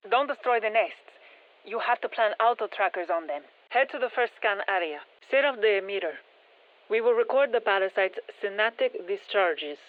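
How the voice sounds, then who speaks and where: Scientist over narrow radio. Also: female voice, medium pitch. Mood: focused, matter-of-fact. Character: composed female scientist-engineer guiding soldiers against alien parasites. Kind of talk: scripted game mission briefing.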